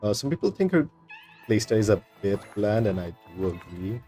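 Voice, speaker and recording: A man's voice: on the low side, sing-song, fairly quick, mellow. A man streaming games, giving casual, rambling, laid-back commentary on his play. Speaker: game streamer. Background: faint game music.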